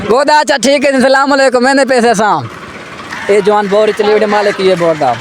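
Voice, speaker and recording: Casting: hoarse, higher-voiced male rural vlogger, chatty and excited, outdoors with faint noise. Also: fairly quick. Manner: chatty, excited, calls out to people. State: sore throat. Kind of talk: casual vlog narration. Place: outdoors in the countryside.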